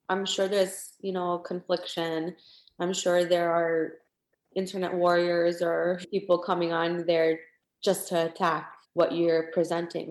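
Low-voiced woman host in an echoey room. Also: darkish tone. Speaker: interview host. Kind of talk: casual interview conversation.

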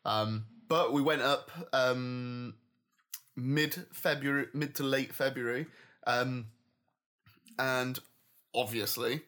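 A man's voice: mid-range voice, steady tone, slow, long pauses, a bit bright. A man from Yorkshire co-hosting a podcast in casual chat, cheerful and easygoing, laughing often. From Yorkshire, England. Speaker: podcast co-host.

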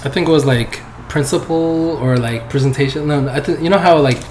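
A young man, a software developer whose first language is not English, chatting casually as a podcast guest in a loose, rambling way with lots of fillers. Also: a little high, fairly quick. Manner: relaxed, rambling, laughs often, many "like"s. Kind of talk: casual interview chat. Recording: echoey room with background noise.

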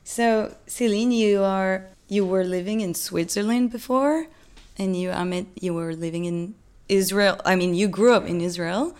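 A woman interviewing two company founders, asking long questions in a gentle, hesitant way. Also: medium pitch, normal speed, clean tone.

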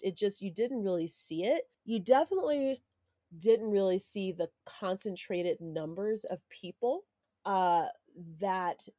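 A woman's voice: mid-range voice, darkish tone, dry recording, clean studio sound. A woman from Denver, Colorado hosting a solo podcast, speaking candidly and reflectively with a stuffy, congested nose and many ums and restarts. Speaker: podcast host.

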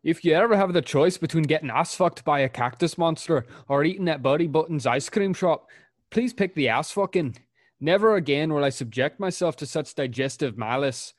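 A man's voice: on the high side, normal speed, dry recording, clean studio sound, close to an everyday voice. A man reading out a review as a crude, furious Scottish father, in an attempted Scottish accent, ranting vulgarly and dramatically. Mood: disgusted ranting.

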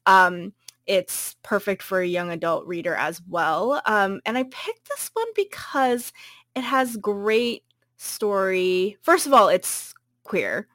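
A woman's voice: medium pitch, animated, slow pace, fairly bright tone, no room sound, clean studio sound. A woman co-hosting a podcast, chatting casually about a book she recommends, with many ums and likes and frequent laughs. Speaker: podcast co-host.

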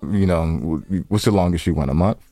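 A man's voice: deep voice, fairly quick, warm tone, no room sound. A man co-hosting a podcast in casual banter, teasing and repeating phrases for emphasis. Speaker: podcast co-host. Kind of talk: casual bantering chat with wife.

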